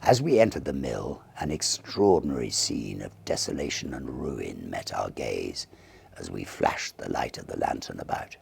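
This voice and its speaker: Very deep, gravelly male storyteller. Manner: theatrical, expressive, deliberate phrasing. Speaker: audiobook narrator and reader. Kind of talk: dramatic reading of a Victorian ghost story.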